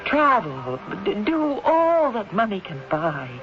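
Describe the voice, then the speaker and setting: An actress playing a cold, scheming wife plotting her husband's death, brisk, controlling and dismissive, in 1970s radio drama style. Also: a little high, very animated, faint music behind. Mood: cold, coaxing. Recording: dull old radio recording.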